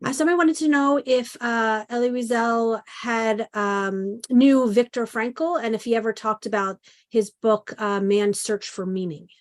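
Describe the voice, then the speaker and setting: A woman's voice: a little high, fairly even intonation, measured pace. A woman moderating a video call interview, relaying audience questions with some fillers.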